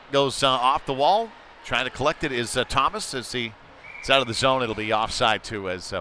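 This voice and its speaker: A man commentates live on amateur hockey in an informal, chatty way. His mid-pitched voice is bright and forceful. Some light ambient noise sits behind him. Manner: conversational and informal, excited when play heats up.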